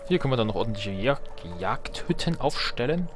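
A man's voice: medium pitch, lively intonation, brisk, over music. A man giving casual let's play commentary on a strategy game, thinking aloud as he plays.

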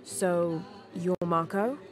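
Composed, low-voiced adventurer. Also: female voice, slow pace, faint music behind. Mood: steady, reassuring. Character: composed young woman adventurer and researcher, quietly determined. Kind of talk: video game cutscene acting.

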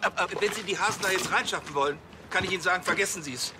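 An actor playing a pompous, flustered police chief with a fussy, stammering, indignant manner. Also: male voice, high-pitched, very fast, background noise, natural voice.

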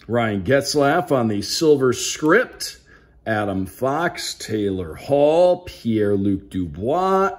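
A man doing casual unboxing commentary with an upbeat, announcer-like delivery, calling out names like a sports broadcaster. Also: mid-range voice. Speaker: hockey play-by-play broadcaster hosting a card unboxing.

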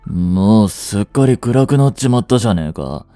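A man's voice: expressive, moderate pace, mellow, no echo, faint music behind, natural voice. A man playing a cool, curt teenage boyfriend. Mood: feverish, irritated. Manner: curt, dismissive, low-voiced. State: feverish and weak. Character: cool aloof teenage boyfriend, blunt and proud. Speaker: voice actor. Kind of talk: Japanese romance voice drama.

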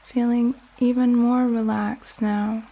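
Female meditation guide: soft and soothing, slightly high yet mellow, unhurried and calming delivery for sleep. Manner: gentle, calming, unhurried with long pauses. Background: soft music and flowing water nature sounds.

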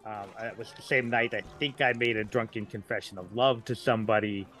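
A man's voice: on the low side, fairly even intonation, brisk, a bit bright, faint music behind. A man talking casually as a podcast guest, informal, with trailing asides. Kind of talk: casual chat.

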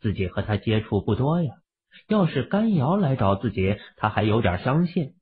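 A man's voice: mid-range voice, very animated, moderate pace, a little hiss or hum, natural voice. A man voicing an understated, musing young husband, the laid-back hero of an urban fantasy audiobook. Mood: puzzled. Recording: muffled, phone-like, lo-fi audio.

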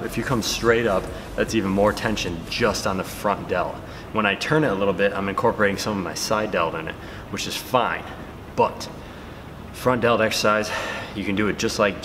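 Relaxed man coaching in echoey gym. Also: lowish voice, noisy background. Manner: relaxed, talking between sets with fillers. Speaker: fitness vlogger and trainer. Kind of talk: casual workout instruction to camera. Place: large indoor gym. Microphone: camera-mounted mic, camera on a stand. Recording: reverberant gym hall.

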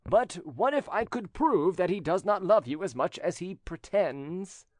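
A male narrator plays a spurned, jealous cousin from a storybook. The voice is raised high and swings widely, insistent and theatrical, in a very dry recording. He sounds pointed and scheming. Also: lively intonation, measured pace, no room sound. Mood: insistent, bitter.

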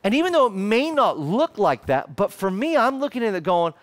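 A man in his thirties with an Australian accent, preaching a sermon into a headset mic on a church stage, emphatic and shifting between fervent and hushed. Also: sits high, big pitch swings, brisk. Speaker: pastor. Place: church stage in front of a lit screen.

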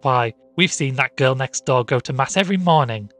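A man voicing a peremptory, indignant middle-aged housewife in a storybook reading, sharp, commanding and scolding. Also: medium pitch, fast talker, soft background music, lightly acted.

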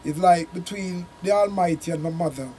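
An older man with a Jamaican accent, a reggae singer telling his story in a relaxed, rambling way in a small studio room. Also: high voice, a little hiss or hum. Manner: unhurried, rambling recollection with Jamaican turns of phrase. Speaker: reggae singer and sound system man, interview guest.

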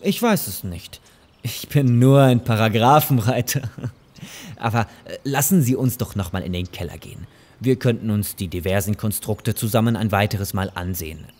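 An actor playing a fussy, overly courteous lawyer in an audio drama, hesitant and repeating himself. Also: male voice, on the low side, animated, normal speed, darkish tone. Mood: evasive. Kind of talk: German audio drama dialogue.

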